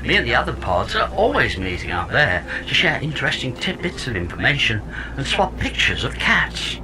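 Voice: mid-range voice, big pitch swings, normal speed, noisy background, natural voice. An actor playing a chatty pod AI that lives in a woman's head, cheerfully wry and theatrical, in a sci-fi audio comedy.

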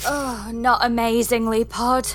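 A woman's voice: on the high side, level tone, a little slow, natural voice. A woman playing a lonely, doubtful space engineer in a comedy sci-fi audio drama, polite and plaintive. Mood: weary.

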